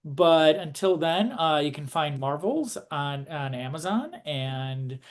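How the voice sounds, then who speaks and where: A man hosting a casual interview, speaking in a hesitant, halting way as he puts his questions and remarks. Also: fairly high pitch, animated. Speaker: interviewer, host.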